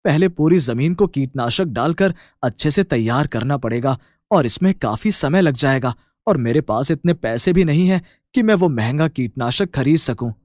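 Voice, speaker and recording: A man's voice: a little high, expressive, normal speed, darkish tone, no echo, studio quality, lightly acted. A man playing a humble village farmer and caring elder brother, earnest, fretful and affectionate, in a storybook tale. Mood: troubled.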